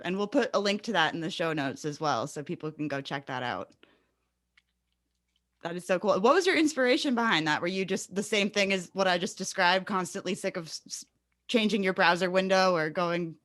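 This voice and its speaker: A woman's voice: fairly low pitch, animated, fairly quick, fairly bright tone, no echo, studio quality. A woman hosting a casual podcast interview, encouraging and effusive toward her guest. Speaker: podcast host.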